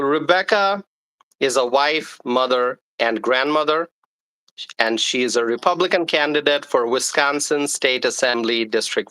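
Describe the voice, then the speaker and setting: A man's voice: on the high side, sharp tone, rough recording. A man hosting a live stream, formally reading out his guest's introduction with small stumbles.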